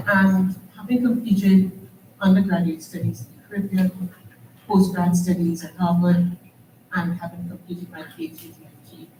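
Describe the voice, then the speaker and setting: A woman's voice: mid-range voice, mellow. A woman from Trinidad with a Trinidadian accent, moderating a conference panel with earnest, rhetorical questions. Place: conference hall. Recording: echoey hall, distant mic, faint noise.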